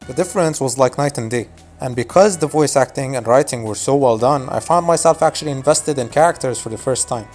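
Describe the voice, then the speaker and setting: A man's voice: medium pitch, a little fast, few pauses, a little mellow, faint music behind. Wry young man narrating a video essay. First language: Arabic. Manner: casual, wry, nostalgic. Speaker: YouTube narrator.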